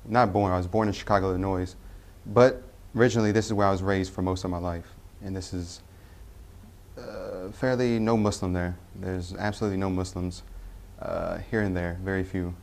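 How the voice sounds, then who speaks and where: A young man from Tennessee, telling his personal faith story in a halting way, with frequent pauses and self-corrections. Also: low voice, fairly even intonation, creaky, darkish tone. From Tennessee, US Southern. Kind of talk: personal testimony.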